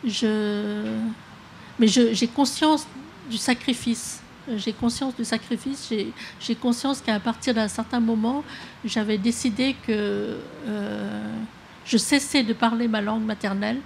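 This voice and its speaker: A woman writer whose first language is Vietnamese, reading her text aloud and then answering questions in a soft, hesitant way with many halting restarts. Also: on the high side, fairly even intonation, creaky, slight background noise. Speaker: author. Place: public talk with an audience.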